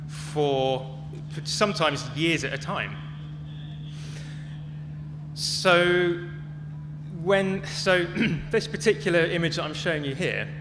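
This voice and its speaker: Male conference speaker, slightly high voice, measured short phrases, long reverb, noisy humming recording. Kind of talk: prepared talk to an audience. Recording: long echoey reverb, clearly noisy with hum.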